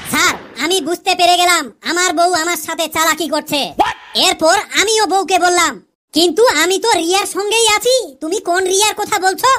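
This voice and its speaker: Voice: very high voice, noisy background. A performer voicing a cheeky cartoon schoolboy who tells stories to a teacher in a childlike, declamatory way. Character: cheeky schoolboy pupil telling husband-and-wife jokes to teacher. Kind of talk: Bengali comedy cartoon voice acting.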